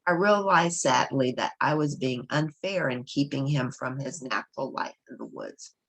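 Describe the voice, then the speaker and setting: A woman's voice: very deep voice, moderate pace. A woman reading a children's novel aloud as a storybook narrator. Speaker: storybook reader.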